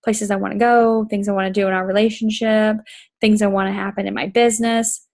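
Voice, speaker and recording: A woman's voice: medium pitch, flat delivery, a little fast, vocal fry, a little mellow. A woman hosting a chat show, reading from a book and explaining it in an enthusiastic, emphatic way that repeats points, with a tickle in her throat. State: throat tickle, occasional coughs. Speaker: podcast host.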